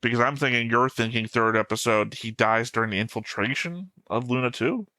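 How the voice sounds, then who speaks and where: Man, bright crisp-edged voice, dry close sound, casual fan debate, pedantic, insistent co-host. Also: mid-range voice, brisk, no echo. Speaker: reaction show co-host.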